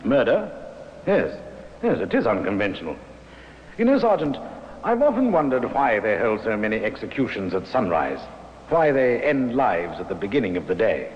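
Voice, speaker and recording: An actor playing a wryly philosophical police superior in a 1950s radio drama. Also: male voice, on the low side, wildly swooping pitch, normal speed. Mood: wry, musing. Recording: muffled, hissy vintage radio recording.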